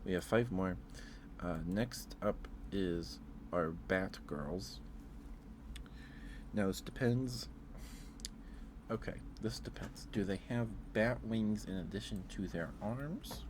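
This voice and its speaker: A man's voice: low voice, slow pace, background noise. A man chatting casually on an ASMR livestream, rambling hesitantly and repeating his phrases, close to the microphone.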